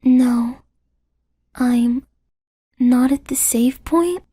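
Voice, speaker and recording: A woman voicing a frail, sick human child in an amateur comic dub, weak and breathy. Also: high voice, extremely slow, mellow, exaggerated voice. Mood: weak, confused.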